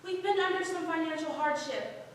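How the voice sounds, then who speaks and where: An actress plays a polite, businesslike woman on an office call in a stage reading. Her very high voice comes from a distance through long hall reverb and faint noise. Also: normal speed. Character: polite young office woman answering telephone.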